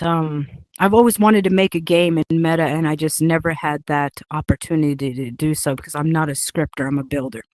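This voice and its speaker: A woman's voice: sits low, a little mellow, no echo. A woman whose first language is Hungarian, chatting casually about building a game, rambling with many fillers and laughs. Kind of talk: casual chat.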